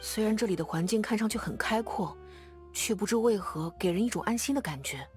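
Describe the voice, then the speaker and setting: A woman's voice: mid-range voice, fairly quick, faint music behind, lightly acted. A woman voicing an earnest, battle-seasoned young male sentry in a fantasy video game, speaking in a reflective, conversational way. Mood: calm, reassuring. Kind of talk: video game character dialogue.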